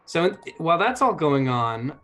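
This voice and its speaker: A man playing a tabletop game, describing his character's actions casually and deliberately, pausing to think aloud. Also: on the high side, very animated, a bit bright.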